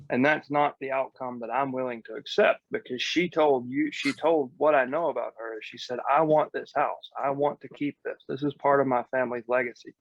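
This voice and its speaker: A man's voice: mid-range voice, highs cut off. A man giving casual, blunt coaching as a real estate podcast host, explaining step by step in informal, mildly profane language.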